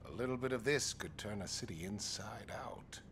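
An actor playing a menacing, theatrical terrorist leader with a sly, gloating manner in a video game cutscene. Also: male voice, fairly low pitch, moderate pace, background noise, natural voice.

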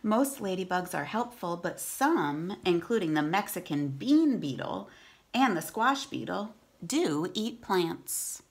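A woman's voice: lowish voice, sing-song, slow. A woman, reading aloud to children as a teacher and chatting casually between passages. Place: room at home.

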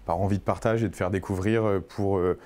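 Male, 30s, from Burgundy. Deep-leaning, dark mellow voice, informal interviewee who hesitates and repeats himself. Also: steady tone, fairly quick. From Burgundy, France. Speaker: restaurateur and wine merchant interviewed. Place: indoors in a restaurant and wine shop.

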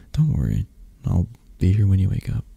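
A man playing a possessive, tender, flirtatious mafia boss in an intimate, soft-spoken ASMR roleplay, murmuring and coaxing with hesitant phrasing. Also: low voice, creaky, breathy edge, dry recording, full character voice.

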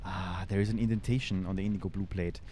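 A man's voice: deep voice, steady tone, fast, dark tone, a little hiss or hum. A man in his thirties, a native Spanish speaker with a German accent, chatting on a headset mic while streaming a game, thinking aloud and answering chat. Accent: German-accented English. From Austria. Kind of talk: casual let's-play commentary. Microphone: headset mic at a desk.

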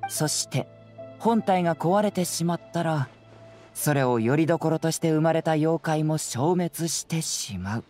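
A man voicing a youthful, confident detective in an anime game, speaking in a measured, analytical, explanatory way. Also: on the high side, lively intonation, darkish tone. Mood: calm, firm. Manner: measured, explanatory, self-assured. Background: faint background music.

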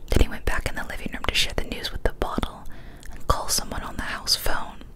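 A young woman whispers a horror story in an ASMR style. Her voice is breathy and unvoiced, kept soft and hushed right up at a studio microphone. She reads with careful, deliberate phrasing. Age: young adult. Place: small decorated indoor room. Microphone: large studio microphone, close up.

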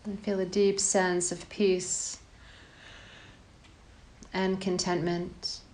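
A woman guides a meditation in a slightly low voice. She speaks very slowly and evenly, with little rise or fall. Her short, calm instructions are separated by pauses. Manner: calm, measured, pausing between short phrases.

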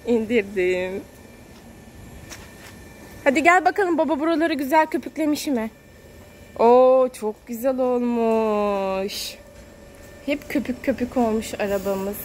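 High voiced mom talking to her little kid, sing-songy n encouraging, bit of noise outside. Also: slow pace, long pauses, a little mellow. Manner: encouraging, sing-song repetition of words. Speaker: mother filming a family vlog. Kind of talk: casual narration to a small child. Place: outdoors at a car wash. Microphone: handheld phone camera, speaker behind it. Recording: outdoor car wash background noise.

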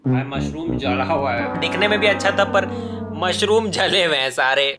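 A man's voice: fairly high pitch, lively intonation, moderate pace, over music. A young man hosting a food review vlog, joking sarcastically in a hotel room. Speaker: food vlogger.

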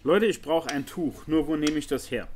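A man's voice: fairly high pitch, moderate pace, darkish tone. A man giving casual commentary on a game, thinking aloud and talking to the viewers. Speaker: gaming video host. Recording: noisy recording with clicking.

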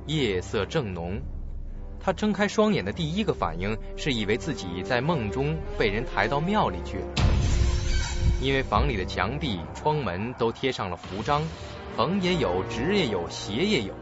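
A man's voice: mid-range voice, big pitch swings, normal speed. A man narrating a suspense radio drama in a dramatic storytelling style. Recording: dull lo-fi audio, clear music behind.

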